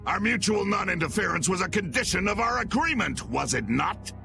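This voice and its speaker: A man voicing an insolent, scheming guild boss in a video game, sneering and defiant in a gravelly voice. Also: sits high, animated, brisk, music in the background. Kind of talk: dramatic video game voice acting.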